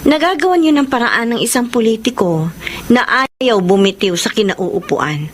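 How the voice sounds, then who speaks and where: A woman's voice: a little high, lively intonation, moderate pace, slight background noise, lightly acted. A woman playing a firm election candidate in a Filipino radio drama, earnest and pointed. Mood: firm, defiant. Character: firm, defiant female election candidate, a niece.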